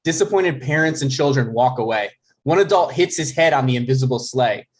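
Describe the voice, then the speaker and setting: A man's voice: mid-range voice, animated, brisk. A man reads scripted stage directions as narrator of a table read. His voice sits in a middle register, and he delivers scene headings and action lines.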